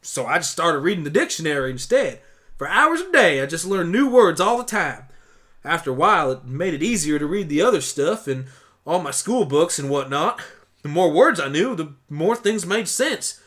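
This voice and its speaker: A man's voice: fairly high pitch, sing-song, moderate pace, fairly bright tone. A man voicing a blunt, stubborn young country pony in a fan audio drama. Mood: matter-of-fact. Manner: folksy, blunt, exclamatory. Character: plain-spoken, stubborn young country mare pony turned human.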